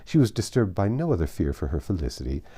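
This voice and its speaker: A man's voice: low voice, sing-song, quick pace, warm tone, slightly rough. A man narrating a classic novel as an audiobook, reading from a script.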